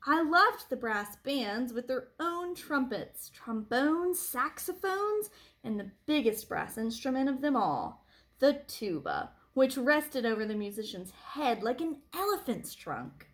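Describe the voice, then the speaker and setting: A woman reading a picture book aloud to children like a teacher, with animated phrasing and deliberate emphasis. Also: sits high. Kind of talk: expressive read-aloud storytelling for children.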